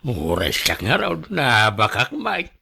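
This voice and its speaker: A man's voice: mid-range voice, wildly swooping pitch, slow, gravelly, bright, forceful tone, breathy edge, heavily acted. A man with a Filipino accent playing a flirty, teasing gambling buddy in an Ilocano radio drama. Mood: consoling.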